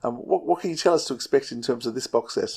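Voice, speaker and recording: A man's voice: medium pitch, fast talker, creaky, a little mellow, husky. A man co-hosting a podcast, asking questions in a casual, chatty way. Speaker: podcast co-host, interviewer. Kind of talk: casual interview chat.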